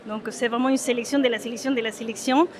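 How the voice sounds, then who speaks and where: A hesitant woman from Mexico with a somewhat high voice answers an interviewer's questions about her work. She restarts her sentences often and fills pauses with "uh" as she searches for words. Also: fairly even intonation, a little mellow. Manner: hesitant, many fillers and restarts. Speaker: photographer. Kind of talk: interview at an exhibition opening.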